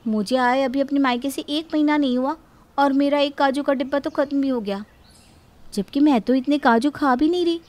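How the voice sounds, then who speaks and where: A woman's voice: sits high, moderate pace, darkish tone, lightly acted. A woman voicing a quarrelsome, possessive young married woman in a cartoon family drama, scolding emphatically. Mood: puzzled, suspicious.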